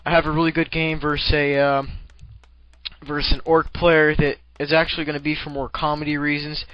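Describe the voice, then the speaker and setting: A man's voice: fairly high pitch, level tone, brisk, lo-fi sound. A man giving casual, rambling commentary on a game replay, with profane, jokey slang.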